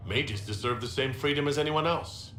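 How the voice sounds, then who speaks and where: An actor playing a sober, sincere young male mage hero in a video game, calm and plain-spoken. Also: mid-range voice, moderate pace, natural voice. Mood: sincere, firm. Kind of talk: video game dialogue acting. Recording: roomy echo with clear background noise.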